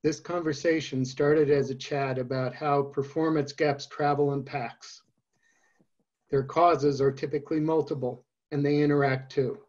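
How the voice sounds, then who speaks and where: A man hosting a webinar, reading out an introduction in a measured way. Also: a little high, steady tone, a little mellow. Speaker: webinar host. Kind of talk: read introduction. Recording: muffled video call audio.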